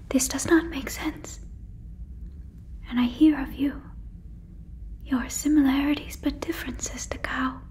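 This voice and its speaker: A woman voicing an earnest, protective alien in an ASMR roleplay, whispering breathily close to a microphone with halting, stilted phrasing. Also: high-pitched. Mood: earnest, indignant. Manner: halting, stilted phrasing like a learner of human words. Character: earnest female alien, protective, gently convinced listener is a cow. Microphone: fluffy microphone, very close.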